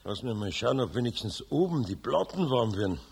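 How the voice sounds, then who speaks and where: A man playing a kindly, grumbling older Bavarian master carpenter with a husky, gravelly voice in a children's radio play. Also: medium pitch, big pitch swings, a little fast, a little mellow, heavily acted. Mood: vexed.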